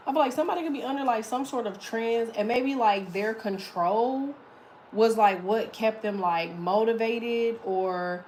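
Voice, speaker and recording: A woman with a deep voice giving a casual, chatty tarot reading, swearing freely as she talks. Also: a little slow, a little mellow, slight background noise. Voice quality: deep, slightly muffled voice. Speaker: tarot reader.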